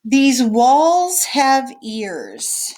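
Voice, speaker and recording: A woman's voice: high-pitched, sing-song, extremely slow, darkish tone, slight background noise. A woman teacher reading a picture book aloud and explaining it simply and casually to children, often checking that they follow. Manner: explains simply, checking in with okay and all right.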